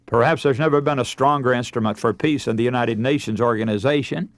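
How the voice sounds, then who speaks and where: A man's voice: medium pitch, fast talker, no echo. A man preaching a scripted radio sermon in an earnest, emphatic, oratorical manner, putting rhetorical questions to his listeners. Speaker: radio preacher and broadcast host.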